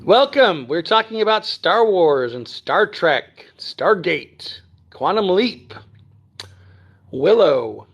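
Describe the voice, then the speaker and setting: A rambling, friendly-sounding livestream host, a man with a somewhat raised pitch. He is from Texas but keeps to a trained standard American accent, chatting loosely on a phone stream at home. He fills pauses with ums and clears his throat often. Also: very animated. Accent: none, trained standard American. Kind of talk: casual livestream chat answering viewer comments. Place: at home near Dallas.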